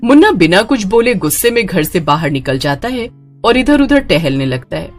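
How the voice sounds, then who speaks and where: A woman's voice: mid-range voice, sing-song, normal speed, faint music behind. A woman narrating a scripted dramatic story as the storyteller.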